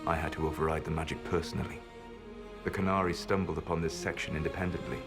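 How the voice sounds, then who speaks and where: An actor playing a weary, regretful but resolute ancient mage in a video game, speaking in a grave, measured, formal way. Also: male voice, very deep voice, fairly even intonation. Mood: solemn, resolute. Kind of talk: dramatic video game acting. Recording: loud orchestral game music behind.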